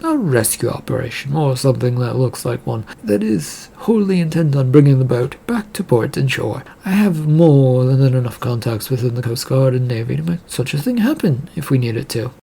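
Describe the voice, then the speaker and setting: A man's voice: medium pitch, lively intonation, normal speed, creaky, few pauses, mellow, husky. A man voicing a scheming, well-connected businessman in a story, wry and confident. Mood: gleeful.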